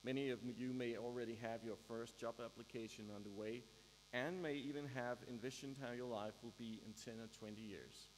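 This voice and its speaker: A man delivers a formal graduation speech in a deliberate manner, pausing for emphasis. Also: steady tone, slight background noise. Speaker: graduation ceremony speaker. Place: large hall at a university ceremony, mains hum behind. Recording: echoey hall, humming low-quality live-stream.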